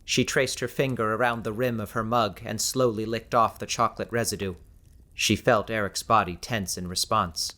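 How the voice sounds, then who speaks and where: A man with a fairly low voice narrates an audiobook. His delivery is measured and descriptive, shaped as scripted fiction storytelling. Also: steady tone, bright, forceful tone.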